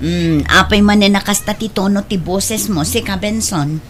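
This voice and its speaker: A woman's voice: mid-range voice, normal speed, a little hiss or hum, close to an everyday voice. A woman playing a defensive, exasperated wife and indulgent mother in an audio drama, speaking in a complaining manner.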